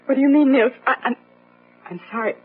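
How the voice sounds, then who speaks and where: An actress playing an earnest, brave but disillusioned young daughter who speaks haltingly and questioningly in a gothic radio drama. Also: female voice, high voice, slightly rough. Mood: pleading, indignant. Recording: muffled old radio drama, faint music.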